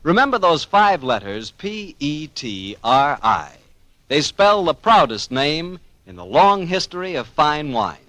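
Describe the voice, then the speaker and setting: A bright-voiced American man announces an old-time radio show. His delivery is lively and emphatic, with a folksy salesman's touch. The vintage recording is dry, with music. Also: fairly high pitch, unhurried, dry recording, low-quality recording. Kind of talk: 1940s radio sponsor pitch and hosting.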